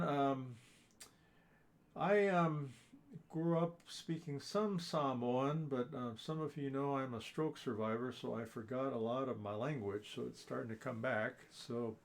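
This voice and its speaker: A man's voice: mid-range voice, gravelly. A man hosting a live ukulele class, talking in a friendly, teacherly way with frequent chuckles and fillers. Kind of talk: live online class talk.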